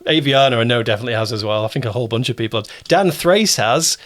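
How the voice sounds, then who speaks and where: A man's voice: medium pitch, fast. A man hosting a casual interview, speaking in an understated, somewhat hesitant way. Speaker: producer and interview host.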